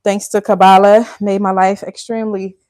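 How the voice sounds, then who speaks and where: A woman's voice: medium pitch, level tone, normal speed, a little mellow. A woman from Liberia hosting a live cooking stream, chatting casually with viewers and calling them y'all. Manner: chatty, addresses viewers as y'all, laughs often. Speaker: cooking channel host.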